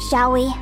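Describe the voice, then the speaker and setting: Casting: tiny hovering game sidekick, very high and squeaky, bossy, swoops all over, unhurried, game music behind. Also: female voice, full character voice. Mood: excited. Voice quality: squeaky, indignant. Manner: speaks of herself in third person. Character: small hovering female companion, bossy and excitable. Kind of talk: game cutscene voice acting.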